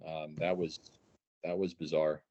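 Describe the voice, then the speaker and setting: A man's voice: very deep voice, little pitch movement, unhurried, darkish tone. A man in his thirties chatting casually about sports as a podcast guest. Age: 30s. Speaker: podcast guest, stadium announcer. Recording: dull lo-fi audio.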